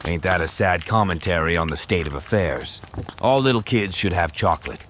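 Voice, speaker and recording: A man's voice: low-pitched, animated, moderate pace, a bit bright, slight background noise. A man playing an easygoing, kind-hearted soldier with a casual, folksy manner in an audio comic. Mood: kindly.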